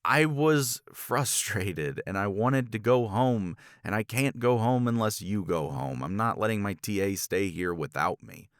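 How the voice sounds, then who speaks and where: A man acting a jealous, frustrated academic in an intimate romance audio roleplay, speaking in a halting, intense manner. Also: on the low side, lively intonation, no echo, studio quality. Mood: defensive, frustrated. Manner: halting, intense, slipping into breathy near-whispers. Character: jealous, frustrated male academic confessing to his assistant.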